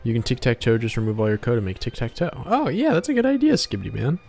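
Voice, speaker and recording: A man streaming as a game developer and artist, chatting casually and muttering in a low-key way as he works. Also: very animated, quick pace, vocal fry, darkish tone, faint music behind. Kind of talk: casual livestream chat while drawing.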